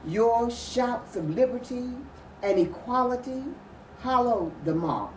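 A woman's voice: a little high, animated, slow, a little mellow. An older woman giving an emphatic, declamatory dramatic reading of a historic speech. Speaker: readers theatre performer. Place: furnished sitting room, indoors. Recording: roomy, distant mic with faint noise.

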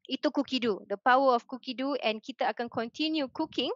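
A woman's voice: sits high, fast talker, dry recording. A woman with a Malaysian accent hosting a live online show and chatting with the audience, switching between Malay and English. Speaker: host of a virtual cooking show. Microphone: headset on a video call. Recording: dull lo-fi video-call audio.

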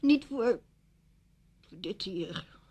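An actress playing a proud, grieving middle-aged widow in a radio play, sardonic, weary and defensive. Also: female voice, on the low side, normal speed, a little mellow, breathy edge. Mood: bitter, agitated. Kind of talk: Dutch radio play acting.

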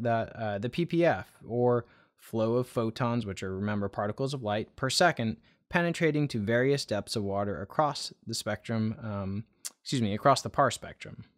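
A man in his thirties giving an informal explanatory tutorial as a video host, in a measured way, speaking straight to the viewer. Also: lowish voice. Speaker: video host, aquarium hobbyist explaining lighting.

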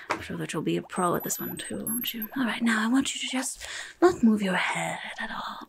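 Goblin clinic helper, female woman performer. Impatient, muttering, repetitive, hushed delivery with gravelly fry, ASMR roleplay. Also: on the high side. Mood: busy, muttering. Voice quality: whispery, breathy, creaky. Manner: brisk, muttering, sarcastic, repetitive. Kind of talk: ASMR roleplay, chaotic medical exam. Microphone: close-up microphone.

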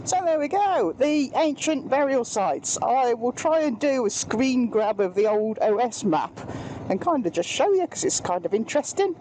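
A woman's voice: a little high, expressive, moderate pace, background noise. A British woman giving casual, chatty, self-deprecating commentary through a helmet mic as she rides a motorbike outdoors. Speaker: motorbike vlogger. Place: outdoors, riding a motorbike on country trails.

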